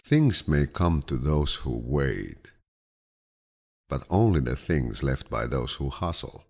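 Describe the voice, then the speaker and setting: A man with a deep, low voice reads quotations as an audiobook in a calm, measured, grandfatherly way. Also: gravelly. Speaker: audiobook narrator. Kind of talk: scripted audiobook reading of quotations.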